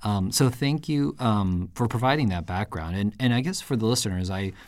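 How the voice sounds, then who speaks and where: A man's voice: on the low side, normal speed, dry recording. A man born in Japan hosting a podcast, reading a scripted introduction and then asking questions with a few hesitations. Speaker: podcast host. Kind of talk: podcast interview.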